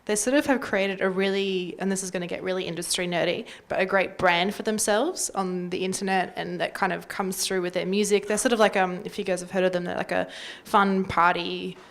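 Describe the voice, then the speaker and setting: A woman's voice: lowish voice, fairly even intonation, brisk, vocal fry. A woman giving an informal panel talk, peppered with "like" and "sort of". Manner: informal, uses "like" and "sort of" often. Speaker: panellist at music industry workshop.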